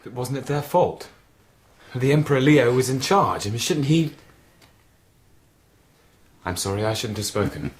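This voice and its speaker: A man's voice: medium pitch, unhurried, long pauses, breathy edge. A young-sounding male actor playing a timid, reluctant boy heir in a radio drama, hesitant and pleading.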